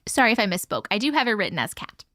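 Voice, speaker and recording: A woman's voice: mid-range voice, big pitch swings, very fast, sharp tone, dry recording, clean studio sound. A woman hosting a live stream from home, chatting effusively with viewers and reading their stories aloud. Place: at home with her cat.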